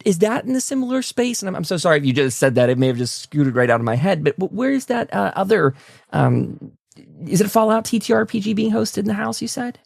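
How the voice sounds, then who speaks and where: A man's voice: sits high, very animated, fast, gravelly, darkish tone, dry recording, studio quality. A man hosting a casual interview, talking in an effusive, wordy way. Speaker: talk show host interviewing a guest. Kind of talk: casual enthusiastic interview chat.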